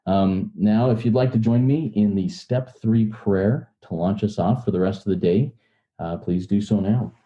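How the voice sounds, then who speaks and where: A man's voice: fairly low pitch, moderate pace, dark tone. A man hosting a recovery meeting, speaking as the one who leads announcements and prayer, on dull lo-fi audio. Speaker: podcast host.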